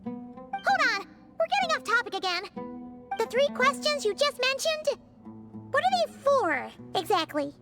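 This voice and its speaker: Squeaky little fairy sidekick, super high, impatient, game music clearly behind. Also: female voice, sing-song, unhurried, pauses often, full character voice. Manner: squeaky, blunt, refers to self by name. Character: tiny childlike fairy companion, curious, impatient, easily confused. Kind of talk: video game character dialogue.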